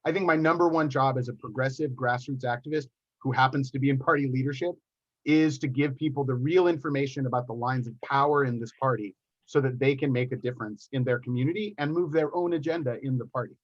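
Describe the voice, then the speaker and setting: A man's voice: medium pitch, fast, lo-fi sound. A man speaking as a party officer candidate at a forum, earnest and candid, with hesitant restarts.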